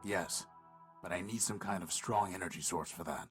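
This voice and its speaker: Man voicing a deep, gruff, stoic android. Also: normal speed, over music, lightly acted. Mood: grumbling, matter-of-fact. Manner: terse, serious, dry. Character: gruff, stoic, world-weary male android tinkerer. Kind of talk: video game voice acting.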